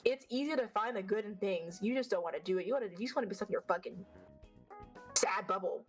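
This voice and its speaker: Fast-talking woman with a deeper voice. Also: faint music behind. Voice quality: deeper voice, mild stutter. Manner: fast, blunt, swears freely. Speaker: vtuber guest. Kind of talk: casual interview chat.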